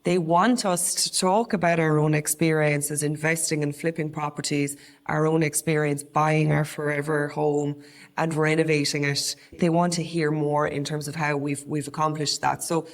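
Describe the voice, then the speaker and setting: A woman's voice: low-pitched, level tone, normal speed. An Irish woman co-hosting a podcast, talking in an earnest, conversational way. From Ireland. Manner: earnest, conversational, with frequent restarts. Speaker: podcast co-host. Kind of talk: casual podcast chat.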